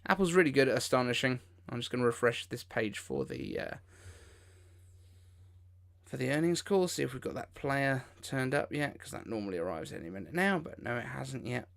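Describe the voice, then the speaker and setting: A man's voice: medium pitch, lively intonation, a little fast, gravelly, a bit bright. A man hosting a casual livestream, reacting in an informal, incredulous way as he comments. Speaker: livestream host.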